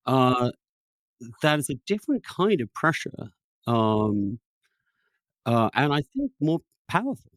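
A man hosting a conversational interview as a journalist, opinionated and emphatic, with halting restarts. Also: mid-range voice, very animated, no echo. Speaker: podcast host, journalist.

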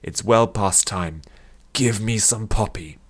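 A man's voice: fairly low pitch, takes it slowly. A man voicing a desperate, addicted male bounty hunter in an audiobook story, given to growls and pleas. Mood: growling demand. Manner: growling, snapping and shouting, sinking to pleading whispers.

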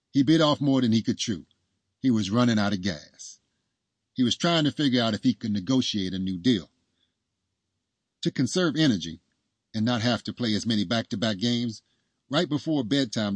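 A man narrates a scripted story as a storyteller. His voice is fairly low and husky, recorded dry with hardly any room sound. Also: no echo.

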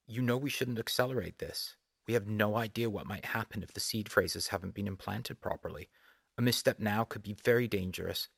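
A man's voice: lowish voice, a little fast, creaky, husky, dry recording, clean studio sound. A man voicing a formal, seething holographic executive with a clipped, legalistic manner in an audiobook. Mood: stern.

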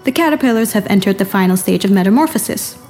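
A woman's voice: medium pitch, lively intonation, fast, darkish tone, faint music behind, natural voice. A woman playing a cheeky, matter-of-fact lab assistant in an audio drama. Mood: brisk, teasing.

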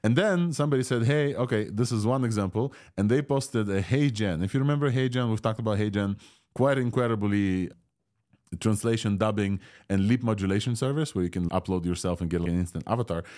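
Guy with low voice and russian accent chatting through tech news, opinionated podcast host. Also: fairly quick, a little mellow. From Ukraine. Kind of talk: casual tech news discussion.

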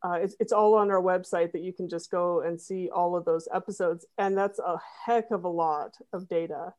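A woman's voice: fairly low pitch, fairly even intonation, moderate pace, a little mellow. A woman guest on a podcast explaining how she runs her site, rambling with fillers. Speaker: podcast guest, web designer. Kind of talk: casual interview.

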